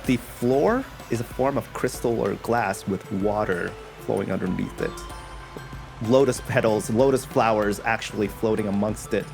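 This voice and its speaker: A man playing a tabletop roleplaying game, describing a luxurious throne room in long, unhurried detail with casual asides. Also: lowish voice, creaky, music in the background. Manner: lush, slow scene-painting with casual asides. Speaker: player describing his character's throne room.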